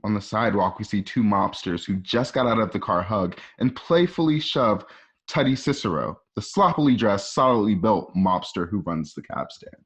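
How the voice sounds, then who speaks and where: A man's voice: fairly low pitch, animated, normal speed. A man reading stage directions for a radio drama in a conversational way, with casual asides. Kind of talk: informal scripted narration for radio drama.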